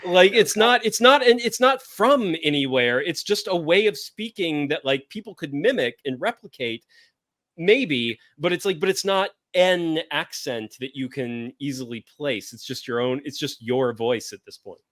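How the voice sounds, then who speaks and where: A man hosting a casual chat, enthusiastic and agreeable, quick to chime in. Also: a little high, animated, a bit bright, no echo. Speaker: podcast host.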